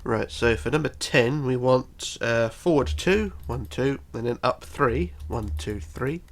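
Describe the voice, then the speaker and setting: Congested man with a creaky voice. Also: mid-range voice, a little slow. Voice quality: congested, bunged-up nose. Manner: counts aloud methodically. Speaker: tutorial host. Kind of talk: casual step-by-step game building tutorial.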